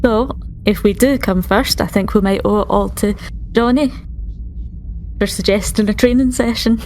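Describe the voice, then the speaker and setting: A woman's voice: medium pitch, normal speed, darkish tone, a little hiss or hum. A woman taking part as a player in a tabletop roleplaying game, talking casually in character.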